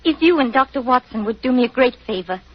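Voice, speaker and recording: A woman's voice: high-pitched, lively intonation, fast talker. A woman playing a polite, earnest young rector's daughter, in 1940s radio style. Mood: polite, eager. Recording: dull, muffled 1940s radio recording.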